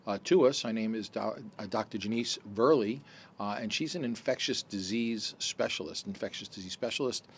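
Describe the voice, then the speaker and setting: A man's voice: lowish voice, fairly quick, a little hiss or hum. A man hosting a radio health talk show, asking rambling questions with many uhs. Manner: friendly, rambling questions with fillers. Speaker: radio show host, doctor.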